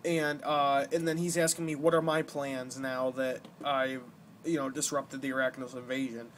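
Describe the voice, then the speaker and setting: A young man giving casual gameplay commentary, explaining things in a halting, rambling way as the host of a gaming video. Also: medium pitch, level tone. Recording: noisy amateur home recording.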